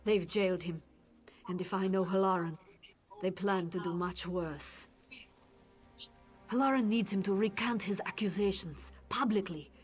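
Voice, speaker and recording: An actor playing a guarded middle-aged town guard captain in a video game, talking low and urgently, with faint music underneath. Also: male voice, natural voice. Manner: hushed, conspiratorial, urgent. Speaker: game character. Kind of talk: studio video game voice acting.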